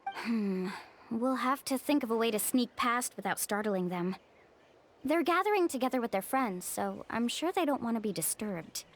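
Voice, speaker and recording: A woman's voice: fairly high pitch, animated, moderate pace, slight background noise. A woman voicing a cheerful, confident young female guide in a video game, upbeat and encouraging. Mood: explaining. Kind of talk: animated video game dialogue.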